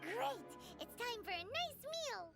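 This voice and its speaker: An actress voicing a tiny, excitable fairy companion who talks about herself by name, in a video game. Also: female voice, very high voice, takes it slowly, creaky, over loud music, full character voice. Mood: chirpy.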